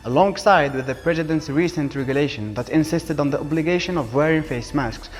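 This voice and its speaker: A young man in his twenties reads a rehearsed pitch to a panel of judges. His delivery is formal and his voice sits slightly high. Faint music plays behind him. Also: fairly quick, in a large hall. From Algeria. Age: 20s. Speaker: student presenter addressing a jury. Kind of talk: reading a prepared pitch presentation.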